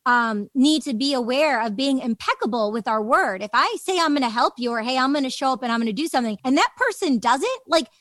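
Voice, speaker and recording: A woman's voice: sits high, expressive, a little fast, few pauses, fairly bright tone, dry recording, clean studio sound. A woman hosting a podcast in casual conversation, chatty and peppering her speech with "like". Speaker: podcast host.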